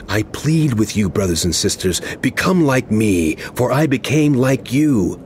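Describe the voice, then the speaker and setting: An actor playing an earnest male apostle who pleads with and admonishes his flock, impassioned and deliberate, in a dramatized scripture reading. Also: medium pitch, a little mellow, a little hiss or hum. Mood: pleading, troubled. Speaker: actor in a dramatized audio Bible. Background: music.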